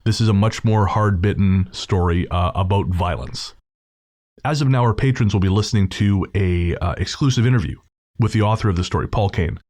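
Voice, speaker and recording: A man's voice: low voice, fairly quick, a little mellow. A man hosting a podcast, casually introducing an episode and speaking to listeners in an informal, slangy way. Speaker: podcast host.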